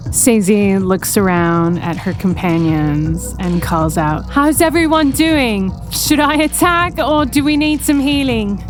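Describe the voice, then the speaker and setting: A woman's voice: mid-range voice, lively intonation, takes it slowly. A woman chatting as a player in a tabletop roleplaying game, with a hesitant, tentative manner. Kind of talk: casual roleplay game chat. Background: background music.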